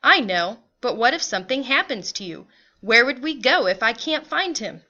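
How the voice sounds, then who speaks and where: A woman's voice: on the high side, sing-song, moderate pace, bright, forceful tone, muffled recording, full character voice. A woman voicing an anxious, weary migrant mother who sounds worried and plaintive as she fears for her children.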